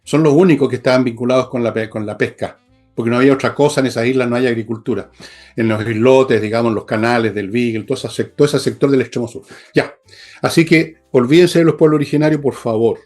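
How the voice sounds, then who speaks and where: A Chilean man hosts a political talk show in a mid-pitched voice. He is blunt and scornful, pressing his opinions emphatically and dropping in coarse slang, with music present in the recording. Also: quick pace, a little mellow. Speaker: political commentator and show host.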